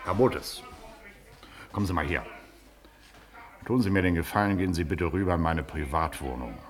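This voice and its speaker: An actor playing a pompous, irritable baron and district official in a radio play, condescending and sarcastic in manner. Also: male voice, low-pitched, moderate pace, close to an everyday voice. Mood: scornful. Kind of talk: German radio play acting.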